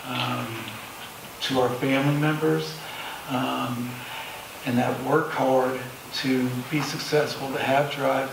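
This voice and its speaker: A man giving unscripted remarks as a clinician on a panel, halting, measured and serious. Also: mid-range voice. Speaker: panelist, clinician in private practice. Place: live panel event in an echoey room. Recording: echoey room, distant mic, clear noise.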